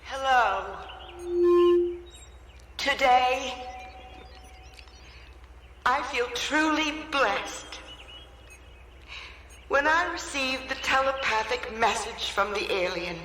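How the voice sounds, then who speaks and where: A blissful, earnest female believer in a radio drama. She speaks extremely slowly, her voice echoing in long reverb amid clear noise. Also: high-pitched, lively intonation, pushed and bright, full character voice. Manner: slow, dreamy, earnest. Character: blissful, earnest senator's wife, mystical believer.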